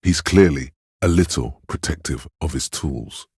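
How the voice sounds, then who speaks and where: Inner voice of empathy, extremely low, heavily husky, velvety, grave, compassionate, measured game narration. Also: male voice, darkish tone, dry recording, studio quality, full character voice. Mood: dry, knowing. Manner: measured, intimate, solemn. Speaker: game voice actor. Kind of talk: scripted second-person game narration.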